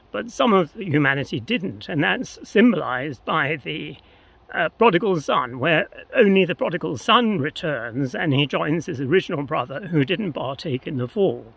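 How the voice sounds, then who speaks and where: Higher-pitched older man, creaky voice. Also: poor audio. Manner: tentative, philosophical, hedging. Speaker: interview guest. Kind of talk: reflective explanatory interview talk. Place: outdoors on open grassland by a path. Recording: outdoor recording with clear ambient noise.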